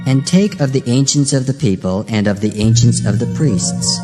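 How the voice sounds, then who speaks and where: Loud music swells around a solemn male divine voice, acted by a man. It commands with deliberate authority, in the manner of dramatized scripture. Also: medium pitch, close to an everyday voice. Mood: solemn commanding.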